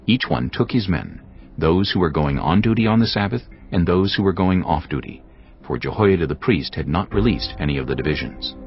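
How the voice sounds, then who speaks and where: Deep solemn male scripture narrator. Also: lively intonation, lo-fi sound. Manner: measured, solemn reading.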